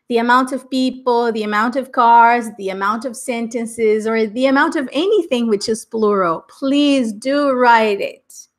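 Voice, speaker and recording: Middle-aged Brazilian woman, Americanized accent, English teacher on a live class, upbeat and unhurried, a touch high. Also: fairly bright tone. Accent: Brazilian Portuguese, Americanized English. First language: Portuguese. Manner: upbeat, chatty, laughs often.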